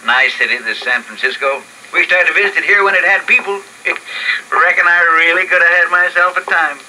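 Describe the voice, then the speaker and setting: An actor playing a folksy, easygoing drifter who talks plainly and adopts a daughter, in vintage radio drama style. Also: male voice, high voice, brisk, bright, forceful tone, rough recording. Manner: drawling folksy talk, plainspoken.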